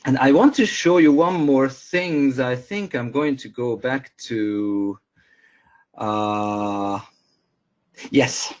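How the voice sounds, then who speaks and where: A man whose first language is Hungarian presents a software webinar, pausing often with fillers and restarting sentences. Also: medium pitch, muffled recording. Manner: hesitant, many fillers and restarts.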